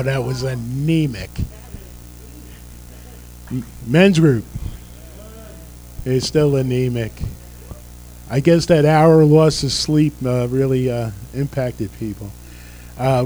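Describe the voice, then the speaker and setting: A man's voice: mid-range voice, mellow, poor audio. Older pastor losing his voice. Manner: folksy, joking asides. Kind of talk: church service announcements and prayer. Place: church sanctuary.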